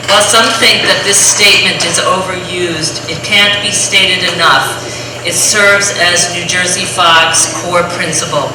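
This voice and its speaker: A woman hosting a public seminar, giving measured opening remarks with frequent ums, recorded from a distance in a large school hall. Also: lowish voice, steady tone, background noise. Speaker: foundation president welcoming a seminar. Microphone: distant room mic. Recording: echoey hall from far off.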